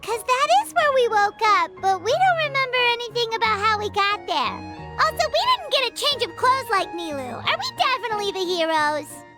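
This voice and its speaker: A tiny chirpy fairy sidekick from a fantasy game. The voice is extremely high, squeaky and childlike, with lively, emphatic swings. Light music sits behind it. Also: female voice, moderate pace. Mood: curious. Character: tiny cheerful childlike fairy companion, talks in third person. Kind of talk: English game dub, cartoonish fantasy acting.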